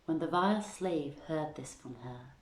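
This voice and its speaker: A low female voice reads archaic literary prose at a slow, measured pace. She hosts a reading from home, and the room adds noticeable echo around her words. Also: recorded from a distance. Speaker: reader and host of a live-streamed reading series. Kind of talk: literary storytelling read aloud, archaic prose and verse. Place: indoors at home. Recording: roomy home livestream echo.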